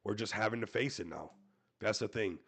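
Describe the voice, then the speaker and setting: Hoarse man, gravelly voice, lowish pitch, casual crypto hype talk, keeps saying everybody. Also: fairly quick, fairly bright tone. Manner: hype-driven, repeats "everybody", talks to viewers. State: hoarse, losing his voice. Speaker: crypto show host. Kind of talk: casual livestream commentary.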